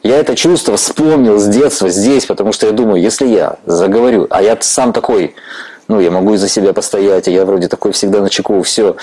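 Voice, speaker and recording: A man in his forties from Ukraine with a fairly low voice who conducts a relaxed interview on a church stage. He talks candidly and reflectively, often breaking into a laugh. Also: fairly quick, breathy edge, low-quality recording. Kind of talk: conversational interview.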